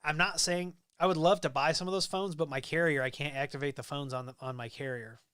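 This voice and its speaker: A man's voice: on the high side, fairly even intonation, brisk, a bit bright, clear, resonant tone, no echo, studio quality. A Midwestern man hosting a casual live stream, reading viewer comments and rambling easily with frequent ums and restarts. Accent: Midwestern American. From American Midwest. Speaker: livestream host.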